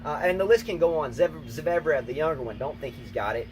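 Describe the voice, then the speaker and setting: Man, tennis co-host, emphatic, repeats himself, slightly high voice, windy outdoor recording. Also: expressive, brisk. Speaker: tennis channel co-host, coach. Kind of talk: casual outdoor chat. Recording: noisy outdoor recording, wind.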